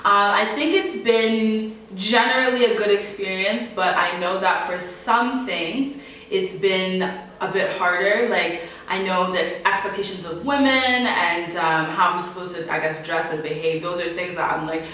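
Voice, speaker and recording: Young woman guest, bright and chatty, laughs easily, sits far off mic in a roomy space. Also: mid-range voice, a little slow, slight background noise. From Canada. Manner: laughs often, animated, chatty. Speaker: interview guest. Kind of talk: casual interview answers. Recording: echoey room, voice from off mic.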